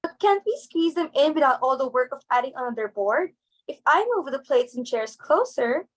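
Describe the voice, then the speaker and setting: A flustered young society daughter with a high voice, played by a woman. She is eager and anxious, pleading excitably in the theatrical manner of a stage comedy reading. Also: moderate pace, rough recording.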